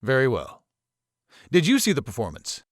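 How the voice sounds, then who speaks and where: A man acting a polite, probing, subtly ominous college professor in a visual novel, speaking in a measured, formal, theatrical way. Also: medium pitch, wildly swooping pitch, dry recording, clean studio sound. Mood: curious, reserved. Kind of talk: visual novel character dialogue.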